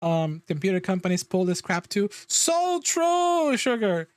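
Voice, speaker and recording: A man's voice: high voice, very animated, a little slow, smooth. A man streaming a reaction video, chatting casually and joking with his guest and viewers.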